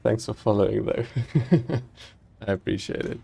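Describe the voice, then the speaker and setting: A man from South Africa with a slightly Americanized South African accent, chatting casually and haltingly with viewers while streaming a game. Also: fairly low pitch, vocal fry, breathy edge. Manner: relaxed, chatty, halting with many ums and restarts, chuckles.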